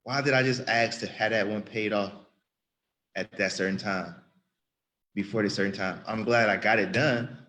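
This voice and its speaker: A man's voice: fairly low pitch, a bit bright. A man around thirty giving an earnest, rambling spoken testimony in church, often restarting and repeating himself. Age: about 30.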